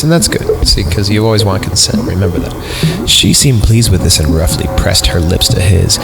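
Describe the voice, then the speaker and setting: A man's voice: low-pitched, creaky, poor audio. A man hosting a podcast, reading a horror story aloud and breaking off to mock it with sarcastic jokes. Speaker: podcast host. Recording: music playing behind.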